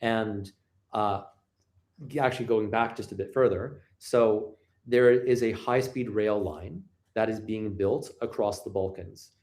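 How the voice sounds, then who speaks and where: Canadian male guest, low-pitched, measured, hedging delivery. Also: a little mellow. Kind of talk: interview answers.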